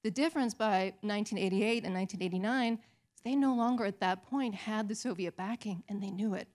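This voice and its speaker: A woman's voice: medium pitch, fairly quick, darkish tone. A woman speaking from the audience at a public talk as an academic specialist, politely and articulately laying out a question.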